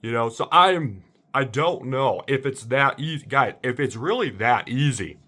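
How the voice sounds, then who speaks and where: A man's voice: lively intonation, measured pace, bright, forceful tone. A man rambling casually to viewers as an amateur impressionist, sounding bewildered and circling back over his points. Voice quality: slightly deep voice.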